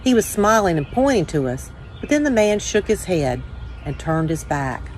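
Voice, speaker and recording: A woman hosting a children's story time, reading aloud with measured, deliberate phrasing. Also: lowish voice, big pitch swings, darkish tone, slight background noise, rough recording. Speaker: church children's ministry director, story time host.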